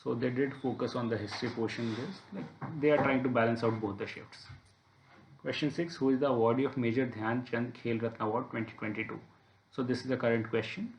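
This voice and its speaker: A man's voice: medium pitch, steady tone, fairly quick, slight background noise. A man working methodically through an exam paper as an instructor, explaining and repeating his points. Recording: echoey room.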